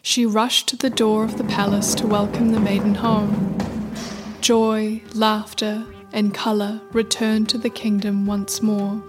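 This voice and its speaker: A woman's voice: medium pitch, level tone, unhurried. A woman narrating a scripted folktale as the storyteller of a radio play. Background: music.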